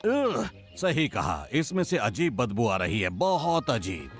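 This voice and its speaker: A genial adult forest animal in a cartoon. He takes his time, savoring words with a swooping, expressive voice at a middle pitch. Music sits clearly behind him. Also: slow pace. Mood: savoring, puzzled. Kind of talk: Hindi cartoon dub acting. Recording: music under the voice.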